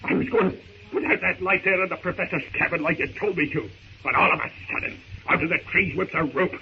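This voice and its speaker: An actor playing a terrified, agitated pirate sailor with rough speech in a 1930s radio serial. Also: male voice, high voice, fast. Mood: shaken. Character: terrified, shaken pirate sailor, adult man. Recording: muffled, noisy old radio recording.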